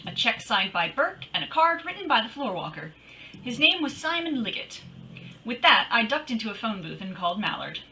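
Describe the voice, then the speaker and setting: A woman's voice: fairly high pitch, big pitch swings, fairly quick, bright, forceful tone, exaggerated voice, low-quality recording. A woman playing a bold, wisecracking private detective in an old-time radio drama, with a breezy, teasing, wry delivery. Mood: brisk storytelling. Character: bold, wisecracking female private detective narrating her case.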